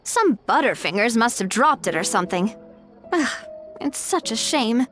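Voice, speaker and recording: An actress playing a lively young woman in a video game, talking in an animated, expressive way. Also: on the high side, moderate pace, soft background music.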